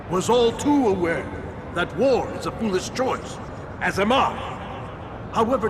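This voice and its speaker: An actor playing a manipulative male sorcerer and royal regent in a video game cutscene, theatrical and commanding, with deliberate oratory. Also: sits high, background noise, full character voice. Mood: solemn, persuasive. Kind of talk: theatrical scripted game drama.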